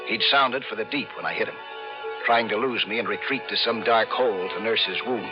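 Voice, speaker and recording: Actor as brooding radio-drama diver, muffled, over music. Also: male voice, lowish voice, fairly quick, close to an everyday voice. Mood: brooding, musing. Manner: hushed, musing, dramatic, repeating phrases. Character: brooding male skin diver, dreamy and obsessed, narrating. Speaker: narrator and lead actor. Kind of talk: 1950s radio suspense acting, first-person narration.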